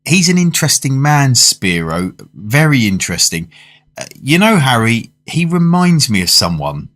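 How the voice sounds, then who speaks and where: A man's voice: medium pitch, sing-song, moderate pace, fairly bright tone. An older man with a British accent playing a genteel, reflective diner who narrates a macabre tale in a radio drama. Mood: curious. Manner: courteous, literary storytelling. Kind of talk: fan-made radio drama, first-person narration and dialogue. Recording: music under the voice.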